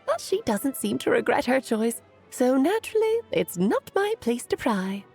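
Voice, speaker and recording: An elegant witch in a fantasy game. She speaks in a fairly high, lilting voice with some creak, gracious and theatrical, over faint music. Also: sing-song, moderate pace, a little mellow, heavily acted. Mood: gracious, reflective. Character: elegant storytelling witch hosting a gathering, gracious.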